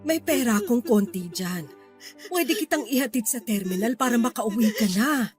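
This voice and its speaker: A fairly high, motherly older Filipina voice in a radio drama. She is kind but firm, perceptive and protective, with faint music behind her. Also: lively intonation, normal speed, breathy edge. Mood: consoling. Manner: motherly, gently probing, firm.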